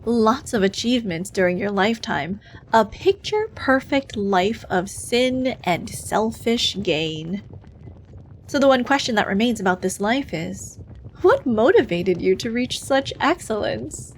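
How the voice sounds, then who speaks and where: A woman's voice: medium pitch, animated, measured pace. Woman playing a dryly officious demon interviewer, noisy recording. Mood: appraising, intrigued. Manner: officious, dryly condescending, matter-of-fact. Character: brisk bureaucratic female demon interviewer in hell. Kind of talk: scripted ASMR roleplay.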